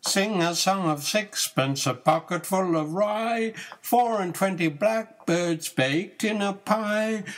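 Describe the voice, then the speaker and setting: Slow, higher-pitched older man, singsong. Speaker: grandfather reading nursery rhymes. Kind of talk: singsong nursery rhyme recitation.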